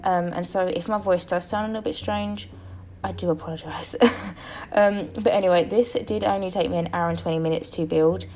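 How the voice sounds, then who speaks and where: Young woman, tired and slightly croaky, low-pitched, rambling and apologetic casual voice-over, faint hiss. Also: fairly even intonation, fairly quick, warm tone. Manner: rambling, self-correcting, apologetic. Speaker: game building vlogger.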